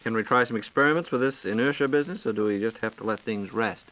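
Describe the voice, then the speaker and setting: A man's voice: medium pitch, quick pace, a bit bright, rough recording. An American man hosting a scripted 1940s radio program, playing the wry, playful layman who feeds questions to an expert.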